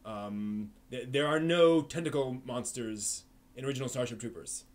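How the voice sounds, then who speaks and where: Man, live-stream host, casual chat, rambling, many fillers and restarts, mid-pitched voice. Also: moderate pace.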